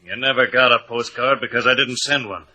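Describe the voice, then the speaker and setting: An actor playing a suspicious, menacing small-time crook in an old radio drama, snarling and defiant. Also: male voice, mid-range voice, fairly even intonation, a little fast, bright, forceful tone, poor audio. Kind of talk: 1950s radio drama acting.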